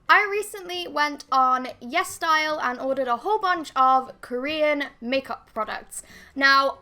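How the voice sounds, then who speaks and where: Chatty woman, slightly croaky. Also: sits high, bright, forceful tone. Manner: chatty, rambling, self-deprecating. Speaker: beauty vlogger. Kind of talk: casual makeup review to camera. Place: bedroom with bookshelves, indoors. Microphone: fixed camera at desk.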